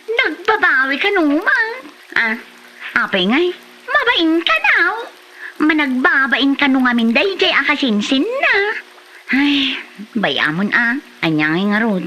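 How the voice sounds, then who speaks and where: High, drawling actress as a flirty village woman. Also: big pitch swings, measured pace, bright, forceful tone, slight background noise, full character voice, low-quality recording. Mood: curious, teasing. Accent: Ilocano. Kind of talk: Ilocano comedy drama acting.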